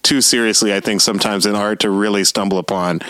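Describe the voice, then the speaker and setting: A man's voice: on the low side, fairly even intonation, fast talker, sharp tone, no echo. A man co-hosting a music podcast, chatting casually and repeating himself for emphasis.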